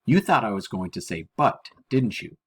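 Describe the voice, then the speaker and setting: Man voicing a stern, kindly older woman, husky. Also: lowish voice, big pitch swings, moderate pace, full character voice. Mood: frank, kindly. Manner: folksy, blunt, nonstandard grammar.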